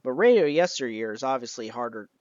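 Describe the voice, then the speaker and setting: A man's voice: medium pitch, animated, fast talker, a little mellow, no echo, highs cut off. A man narrating an informal review of a collection as its reviewer. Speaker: reviewer of an old radio show collection. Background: music.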